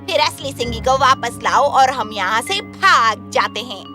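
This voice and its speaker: A woman's voice: a little high, lively intonation, unhurried, bright, forceful tone, soft background music. A woman voicing a furious, ravenous witch in a fairy tale, ranting and wailing melodramatically. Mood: fed up. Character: ravenous, furious, wild witch weary of playing princess. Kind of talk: audio fairy tale voice acting.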